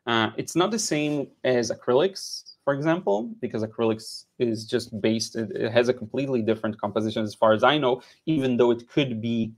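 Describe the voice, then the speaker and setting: A man in his thirties from Israel, teaching watercolor painting on a live stream in a relaxed, chatty way with many fillers and restarts, recorded in a home studio on a headset mic. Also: medium pitch, brisk, hardly any pauses. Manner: relaxed, chatty, frequent "uh" and repeated words. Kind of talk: live-stream painting lesson with chat replies.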